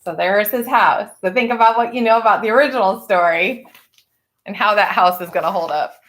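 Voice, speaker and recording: A woman reading a picture book aloud to children in an expressive way, with chatty, teacherly asides to her listeners. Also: mid-range voice, fairly quick. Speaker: storybook reader for children.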